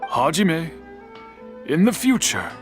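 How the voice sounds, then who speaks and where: A man playing an old, patient master swordsmith in a video game, speaking in a measured, formal, lecturing way. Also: on the high side, huge pitch swings, soft background music, full character voice. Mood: calm. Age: older.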